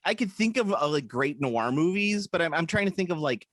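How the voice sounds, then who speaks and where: A man's voice: fairly high pitch, animated, fairly quick, a bit bright, dry recording, clean studio sound. A man co-hosting a podcast, chatting casually in a rambling, hesitant way with lots of fillers and jokes. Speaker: podcast co-host. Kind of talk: casual chat. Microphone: podcast microphone.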